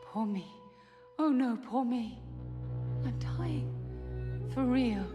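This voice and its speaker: A woman's voice: a little high, takes it slowly, muffled recording. A woman playing a self-pitying dying figure in a video game, wailing theatrically, in long reverb. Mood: self-pitying, anguished. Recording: long reverb under loud music.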